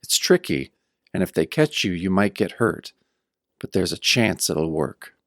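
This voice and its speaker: A man's voice: deep voice, sing-song, a little slow, no room sound, studio quality, full character voice. An actor playing a battered, wry tough-guy hero, narrating his own pulp horror tale in a radio-style audio drama. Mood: determined, wry. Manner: wry, hard-boiled first-person storytelling.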